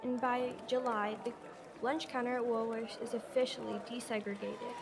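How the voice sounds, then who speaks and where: A woman's voice: a little high, little pitch movement, moderate pace, creaky, background noise. A woman reading scripted narration for an amateur radio drama. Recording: music playing behind the voice.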